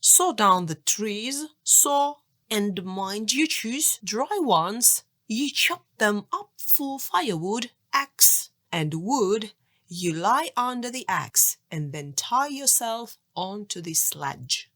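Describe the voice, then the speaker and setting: A woman's voice: mid-range voice, very animated, slow, fairly bright tone, no room sound, clean studio sound, full character voice. A woman voicing a lazy, carefree young peasant man in a children's storybook tale. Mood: commanding. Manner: calling out commands. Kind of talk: children's storybook character reading.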